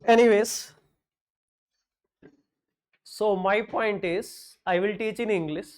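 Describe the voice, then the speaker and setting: North Indian man lecturing a class. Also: high-pitched. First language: Hindi. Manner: mixes English with quick Hindi asides, joking. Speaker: teacher.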